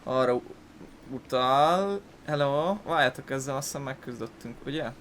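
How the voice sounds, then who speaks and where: A man's voice: medium pitch, moderate pace, fairly bright tone, a little hiss or hum. A Hungarian man giving informal, rambling, sweary let's play commentary as a gaming host. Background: game music.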